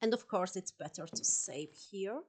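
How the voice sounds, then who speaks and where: A woman's voice: mid-range voice, expressive, moderate pace, husky. A woman with an Italian accent whose first language is Italian, commenting casually on a game stream, hesitant and full of fillers and giggles.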